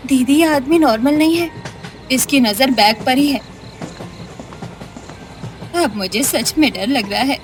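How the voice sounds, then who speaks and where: A woman's voice: high voice, measured pace, long pauses, soft background music. A woman playing frightened, protective young female relatives in a melodramatic story, anxious and urgent. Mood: alarmed.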